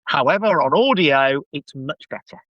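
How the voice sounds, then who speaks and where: A man's voice: fairly high pitch, animated, moderate pace, no echo, muffled recording. A man chatting casually as a podcast guest, giving an enthusiastic fan review full of rambling asides.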